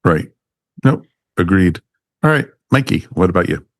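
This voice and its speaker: A man's voice: sits low, very animated, moderate pace, no room sound, studio quality. A man hosting and moderating a casual review chat among panelists. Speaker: podcast host moderating panel.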